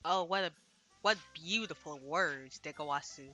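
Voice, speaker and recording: A woman's voice: low voice, moderate pace, sharp tone, soft background music. A woman streaming a visual novel, reading translated dialogue aloud and adding casual, slangy asides. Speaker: game streamer.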